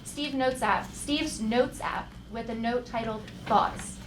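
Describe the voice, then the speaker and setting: A woman's voice: fairly high pitch, slow. A woman narrating the stage directions of a live comedy table read. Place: theater stage, live show. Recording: echoey live theater, noisy room.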